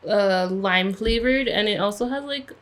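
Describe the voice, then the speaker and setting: A woman's voice: mid-range voice, moderate pace. A woman in her twenties, a podcast co-host, chatting loosely and profanely with her partner, often laughing. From United States. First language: Spanish. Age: 20s. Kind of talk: casual chat.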